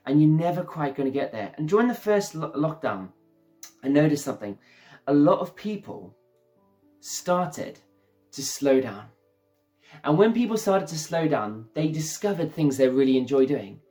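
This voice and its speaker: A man's voice: fairly high pitch, sing-song, normal speed, mellow. A man preaching an enthusiastic, conversational sermon who talks to his listeners as "guys", over faint background music.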